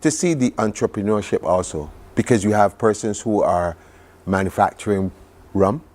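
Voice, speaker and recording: Male interview guest, Barbadian accent, lower register, chatty and reflective with an enthusiastic storytelling manner. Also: normal speed, darkish tone. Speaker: studio guest recounting a trip. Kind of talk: conversational television interview.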